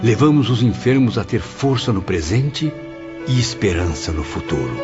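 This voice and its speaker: Man acting earnest penitent spirit, Brazilian accent, low voice, formal audiobook drama, lively intonation, solemn. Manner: solemn, measured, formal diction. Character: earnest, remorseful male spirit recounting his mission of consolation. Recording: dull muffled audio under loud music.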